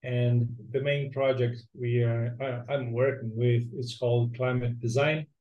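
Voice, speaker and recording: A man's voice: medium pitch, little pitch movement, a little slow, dull audio. A man from Brazil with a Brazilian accent, a native Portuguese speaker, answering conversationally as an interview guest. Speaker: podcast guest, philosopher of ecology.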